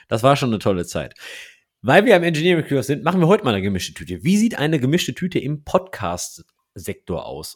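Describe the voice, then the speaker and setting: A German man co-hosting a podcast, chatting casually and telling lively anecdotes. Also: medium pitch. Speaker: podcast co-host.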